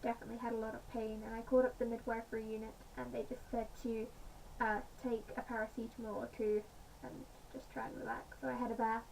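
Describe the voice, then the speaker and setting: A woman with a slightly croaky voice, chatting casually in a home vlog with many pauses and fillers. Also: a little high, level tone, a little mellow. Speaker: vlogger. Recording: distant mic, faint background noise.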